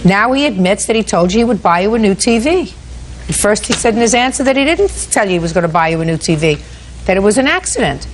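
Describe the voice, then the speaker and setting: Blunt, brisk older woman judge. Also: mid-range voice, lively intonation, slight background noise. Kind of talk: courtroom TV show. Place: TV courtroom.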